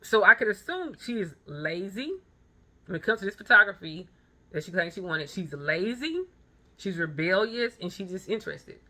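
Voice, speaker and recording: A woman's voice: mid-range voice, lively intonation, normal speed, pushed and bright. A woman in her thirties giving a blunt, emphatic motivational monologue with personal anecdotes, repeating phrases for stress. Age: 30s. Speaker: vlogger and photographer.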